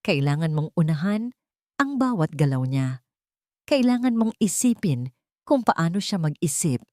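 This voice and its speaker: A woman with a Filipino accent voicing a stern, wise older military general in a story, measured and instructive. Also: sits low, very animated, darkish tone, no room sound, studio quality. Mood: grave counsel.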